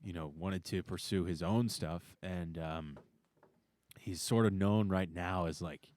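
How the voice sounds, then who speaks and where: A man chatting casually as a musician guest on a podcast, with a hesitant, halting delivery. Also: low voice, a little mellow, no room sound. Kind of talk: casual chat.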